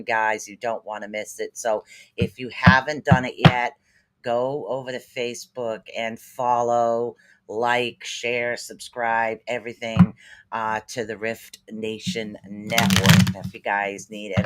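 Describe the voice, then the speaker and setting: A man's voice: fairly low pitch, very noisy. Upbeat rambling man with Boston-like accent. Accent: Boston-sounding East Coast US. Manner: rambling, upbeat, heavy on fillers and repeats. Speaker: spirit reader and livestream host. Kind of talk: casual livestream chat with psychic readings.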